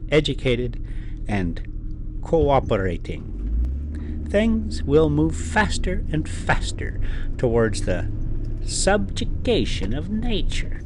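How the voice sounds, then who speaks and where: A man reading a story aloud as a host, with halting, deliberate phrasing. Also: fairly high pitch, sing-song. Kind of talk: slow dramatic audiobook reading with casual asides. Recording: vehicle and road noise behind.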